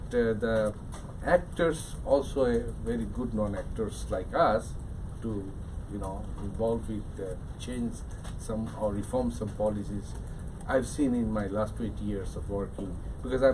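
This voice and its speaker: A man's voice: lowish voice, normal speed, hardly any pauses. A man with a Bangladeshi accent, an activist for migrants' rights, speaking hesitantly with many fillers at a conference. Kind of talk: conference remarks. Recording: distant mic with background noise.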